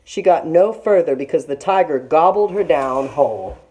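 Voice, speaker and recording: A woman teacher reading a children's story aloud in an expressive narrating style. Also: low-pitched, normal speed, rough recording.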